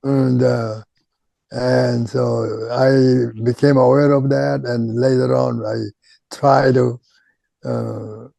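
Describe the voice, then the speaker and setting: An older man, a native Korean speaker with a Korean accent, recalling his career as a retired chemistry professor in an oral history interview, speaking haltingly with many pauses and repeated words. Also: medium pitch, flat delivery, gravelly, dark tone, no echo. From Japan.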